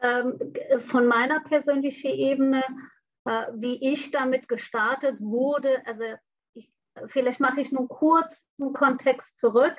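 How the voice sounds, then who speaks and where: A woman's voice: sits high, fairly even intonation, measured pace, no room sound, muffled recording. A young woman who grew up in Bangladesh talks openly and reflectively as an interview guest, with many fillers. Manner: open, frank and reflective, with frequent fillers. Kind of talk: conversational interview.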